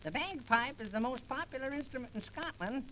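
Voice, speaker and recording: Very high-pitched crackpot professor. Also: male voice, animated, brisk, sharp tone, full character voice. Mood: smug, silly. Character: absurd know-nothing male professor giving silly advice. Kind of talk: 1930s radio comedy acting. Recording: faintly noisy 1930s transcription disc.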